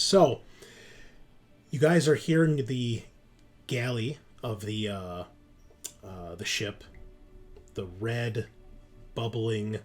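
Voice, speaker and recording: A man with a fairly low voice acts as game master for a tabletop roleplaying session. He explains in a conversational way, often pausing partway through a sentence, with music playing behind him. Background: background music.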